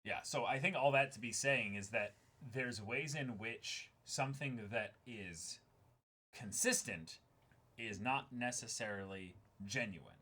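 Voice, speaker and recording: A man's voice: mid-range voice, unhurried, pushed and bright, slightly rough. A man who hosts an online reaction channel, talking casually and unscripted in a reflective, explanatory way.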